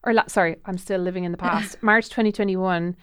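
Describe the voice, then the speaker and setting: A woman's voice: fairly low pitch, steady tone, a little fast, fairly bright tone, clear, resonant tone, no echo, studio quality. A woman hosting a casual interview, chatty and effusive, often trailing off and restarting. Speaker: podcast host.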